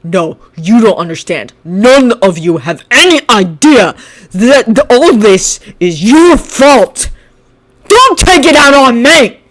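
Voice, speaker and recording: A storyteller voicing a volatile teenage boy with a short fuse. He hisses threats and yells in defense, loud and combative, and his pitch jumps around a lot. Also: big pitch swings, slow pace, exaggerated voice. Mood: angry. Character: hot-tempered teenage boy, snarling and aggressive.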